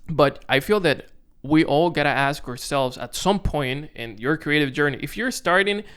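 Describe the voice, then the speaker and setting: A man's voice: a little high, a bit bright, smooth. A young man from the Dominican Republic with a Dominican Spanish accent, talking casually and self-consciously to the camera as a content creator, with frequent restarts. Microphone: camera on tripod.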